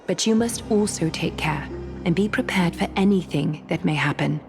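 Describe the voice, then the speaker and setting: A composed, cautious noblewoman of a fantasy game. Her voice sits fairly low with a creaky edge, formal and solemn. Music plays clearly behind her. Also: moderate pace. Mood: grave. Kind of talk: scripted video game drama.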